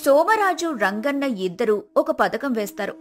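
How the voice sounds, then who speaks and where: A woman's voice: high-pitched, sing-song, moderate pace, soft background music. A woman reading scripted narration for a folk tale as the storyteller.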